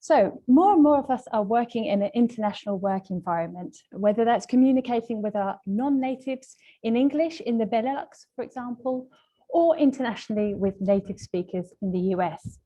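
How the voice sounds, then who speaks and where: A woman presenting a webinar lesson as a language trainer, explaining points in a polite, measured, semi-scripted way. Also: on the high side, warm tone, lo-fi sound.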